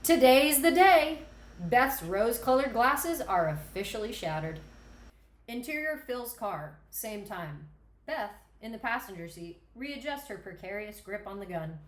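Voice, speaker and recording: A woman's voice: a little high, expressive, a little slow, slight background noise. A woman reading scene directions as scripted narration at a screenplay table read. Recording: roomy echo.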